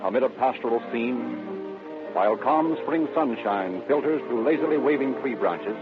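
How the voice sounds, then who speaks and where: Solemn American radio narrator, tinny sound. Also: male voice, mid-range voice, moderate pace. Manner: solemn, formal. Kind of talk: dramatic scripted 1930s radio narration. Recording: tinny vintage radio recording with music.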